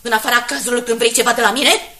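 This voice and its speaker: A woman's voice: a little high, steady tone, bright, forceful tone. An actress playing a jealous, bitter middle-aged wife in a radio drama, accusing insistently and repeating her words. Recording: hissy 1980s radio drama recording.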